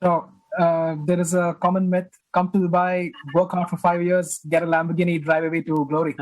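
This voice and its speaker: A man's voice: high-pitched, level tone, fairly quick, dry recording, low-quality recording. A young man from India on a panel, light and joking. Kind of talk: casual panel talk.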